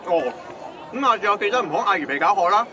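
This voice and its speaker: Lovestruck young hk cantonese street guy, high pitched, corny, radio play with busy crowd behind. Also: animated, quick pace. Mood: lovestruck, sheepish. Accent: Hong Kong Cantonese.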